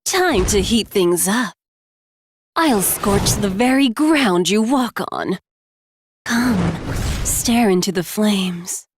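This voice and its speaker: Slow, menacing fire sorceress. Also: female voice, medium pitch, lively intonation, background noise. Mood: smoldering threat. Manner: taunting, deliberate. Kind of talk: video game hero voicelines.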